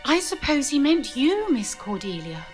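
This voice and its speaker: A woman's voice: sits high, big pitch swings, normal speed, soft background music. A woman playing an earnest, repentant young newcomer in an audio drama about a manor house. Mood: urgent.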